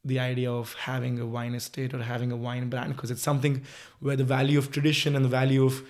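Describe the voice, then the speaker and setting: A man's voice: mid-range voice, monotone, fast. A man hosting an interview, talking in a rambling way as he searches for words. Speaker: interview host. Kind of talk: casual interview.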